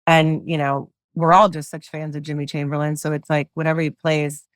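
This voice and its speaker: A middle-aged woman with a low voice, a singer-songwriter guest chatting loosely in an interview, full of fillers and restarts. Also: level tone, brisk, no room sound, studio quality. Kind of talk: casual interview chat.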